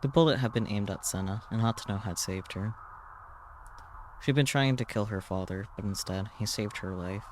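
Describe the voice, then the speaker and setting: A man's voice: lowish voice, normal speed, darkish tone, a little hiss or hum. A man reading a visual novel aloud on a stream as dramatic story narration.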